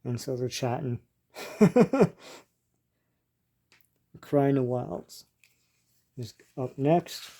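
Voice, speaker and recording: An older man with a dark, relaxed voice at a middling pitch talks through what he is showing. His delivery is halting and informal, like someone thinking aloud as he hosts a casual show-and-tell. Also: creaky. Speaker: host leafing through vintage comic strips.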